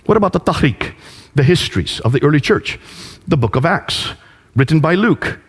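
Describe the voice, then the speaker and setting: A man preaching with a lively, swooping pitch and emphatic rhetorical questions. His voice is a little husky, and it rings out with a long hall reverb. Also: normal speed. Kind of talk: preaching lecture.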